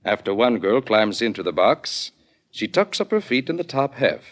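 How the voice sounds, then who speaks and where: Low, formal old illusion builder. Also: male voice, sing-song, moderate pace. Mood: matter-of-fact. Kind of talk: 1940s radio drama acting.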